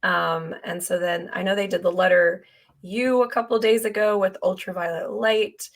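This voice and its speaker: A young woman co-hosting a podcast in casual chat, her voice hoarse and scratchy from losing it, laughing often. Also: on the low side, fairly even intonation, moderate pace. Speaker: podcast co-host.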